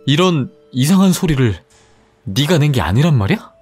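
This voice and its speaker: Timid, easily spooked young schoolboy, high and lively, stammering, acted by a male narrator. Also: moderate pace, slightly rough, faint music behind. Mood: panicked. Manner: panicky, stammering.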